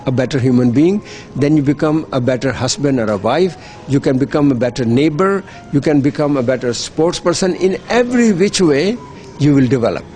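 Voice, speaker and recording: A man's voice: medium pitch, animated, brisk, darkish tone, faint music behind. A middle-aged man, giving earnest, persuasive interview answers as an NGO worker, often repeating words as he restarts. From Pakistan. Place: hotel.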